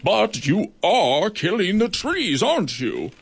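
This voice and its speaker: Man doing beetle judge, male, gruff, magisterial, slow, solemn, gravelly, husky. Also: high-pitched, very animated, voice pushed up, exaggerated voice.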